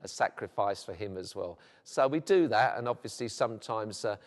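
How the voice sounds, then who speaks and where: A man's voice: fairly low pitch, expressive, normal speed. A middle-aged man with a South London accent, a vicar talking about his life in a documentary interview, chatty and rambling with many fillers. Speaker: vicar and football club chaplain. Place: church. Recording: long hall reverb.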